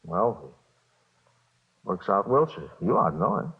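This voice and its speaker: An American man playing a scared small-time bookmaker under questioning, halting and repeating himself in 1950s radio style. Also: low-pitched, big pitch swings, exaggerated voice. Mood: anxious, evasive. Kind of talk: 1950s radio drama acting. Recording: dull vintage radio recording.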